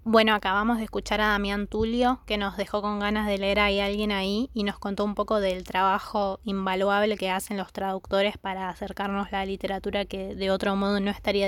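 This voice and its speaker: Podcast presenter: woman, scripted links between segments, mid-pitched, recorded very dry. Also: no room sound. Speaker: podcast host.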